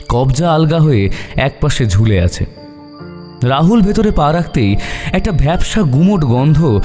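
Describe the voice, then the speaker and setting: Mellow, expressive male horror narrator in reverb. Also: fairly high pitch. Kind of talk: dramatic scripted narration. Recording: long reverb over eerie music.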